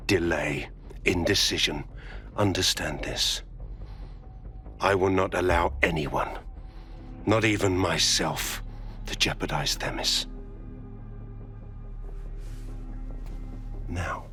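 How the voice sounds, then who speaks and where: An actor playing a ruthless, zealous security company boss in a video game, speaking in measured, emphatic speeches. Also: male voice, deep voice, bright, forceful tone, full character voice. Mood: stern, menacing. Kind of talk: dramatic video game cutscene acting.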